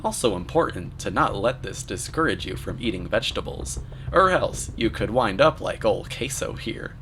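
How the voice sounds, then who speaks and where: A man delivering scripted commentary in a deadpan, mock-serious manner. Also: on the low side, normal speed, slight background noise. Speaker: narrator, video commentator. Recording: voice-over with music underneath. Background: music.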